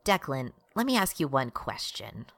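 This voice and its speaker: Radio drama role: patient, conciliatory woman played by an actress, notably low voice, dry close sound. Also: sing-song, moderate pace, vocal fry, a bit bright, dry recording, full character voice. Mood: pointed. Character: calm, reasonable woman, patiently admonishing and conciliatory.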